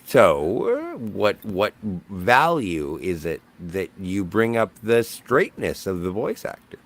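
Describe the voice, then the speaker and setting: A man's voice: sits low, sing-song, takes it slowly. Blunt rambling male show host. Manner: blunt, rambling, jokingly self-important. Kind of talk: casual panel chat.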